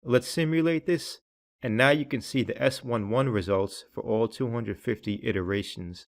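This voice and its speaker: A man reading scripted tutorial narration in a measured, instructional way, with each phrase clearly enunciated. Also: medium pitch.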